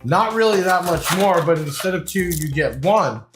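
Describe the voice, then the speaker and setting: A man's voice: high voice, lively intonation, moderate pace. A man giving a casual, off-the-cuff product review, explaining things informally like a salesman. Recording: echoey room, faint music behind.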